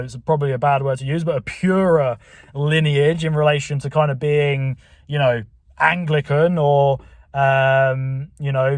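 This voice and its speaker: A man's voice: on the high side, no room sound, studio quality. A man from England with a southern English accent from Buckinghamshire, rambling to the camera from his car, with many fillers and restarts. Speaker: channel host. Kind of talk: rambling casual monologue to camera. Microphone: camera mic.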